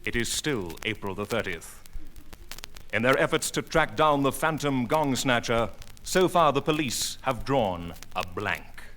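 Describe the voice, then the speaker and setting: A man narrating an old radio serial in a grave, measured way. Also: medium pitch, expressive, loud music behind the voice, close to an everyday voice. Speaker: radio drama announcer.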